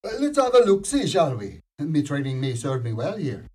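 Actor as a high, husky Irish village policeman. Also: wildly swooping pitch, darkish tone, full character voice. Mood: dutiful. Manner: formal, halting officialese. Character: officious, hesitant small-town Irish policeman. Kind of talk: radio play acting.

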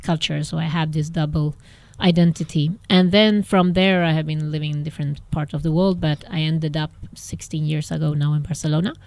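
A woman's voice: deep voice, fairly even intonation, fairly quick, creaky, hardly any pauses, a little mellow. A woman who grew up in Sweden, a guest entrepreneur chatting casually in an interview, thinking aloud and laughing often.

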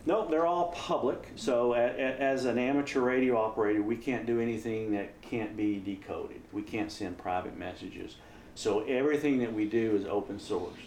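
A man's voice: mid-range voice, normal speed, darkish tone. A man speaking casually as an amateur radio operator being interviewed, explaining how things work. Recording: roomy echo, some background noise.

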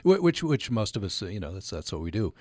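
A man hosting a conversational radio interview, speaking haltingly and repeating words as he forms his thoughts. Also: lowish voice, big pitch swings, a little mellow, no room sound. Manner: halting, repeats words while forming questions.

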